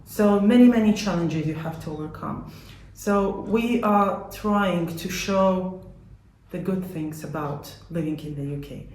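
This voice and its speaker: A woman with a Latvian accent giving measured, earnest explanations as an interviewee about her work. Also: on the low side, darkish tone. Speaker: community support organisation worker being interviewed. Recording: echoey room.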